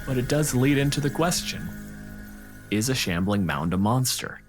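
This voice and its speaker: A man's voice: mid-range voice, lively intonation, normal speed, faint music behind. A man co-hosting a casual panel talk, explaining and arguing his points in a dry manner. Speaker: podcast co-host discussing tabletop game monsters.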